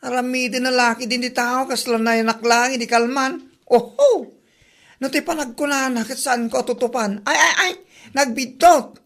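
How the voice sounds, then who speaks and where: Creaky, brash, indignant female friend. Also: sits high, normal speed. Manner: exclaiming, reproachful. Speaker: radio drama actress. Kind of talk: Ilocano comedy radio drama acting.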